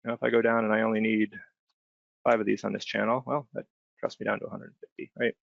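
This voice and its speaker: A man giving an informal, step-by-step software demonstration as a webinar trainer, explaining conversationally and often checking in with short tag questions. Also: lowish voice, little pitch movement, fast, vocal fry, no echo. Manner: conversational, explanatory, frequent check-in tags like "right?". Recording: dull, band-limited close dry voice recording.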